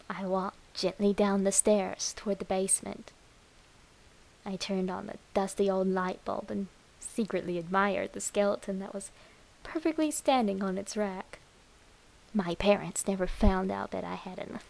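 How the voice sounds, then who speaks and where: A woman voicing a deranged, lovestruck young woman who tells her morbid horror story in a breathy, dramatic way. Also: medium pitch, poor audio. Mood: hushed, wistful. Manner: demented, breathy, dramatic, slow with whispered words. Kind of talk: first-person horror story narration.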